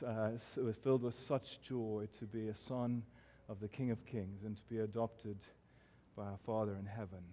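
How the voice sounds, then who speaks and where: A South African man in his forties delivers a church sermon. His accent blends South African and American sounds. He talks earnestly from the pulpit, pausing on "uh" now and then, at a middling pitch for a man. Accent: South African mixed with American. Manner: warm, earnest, self-deprecating pulpit delivery with frequent fillers. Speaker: guest preacher.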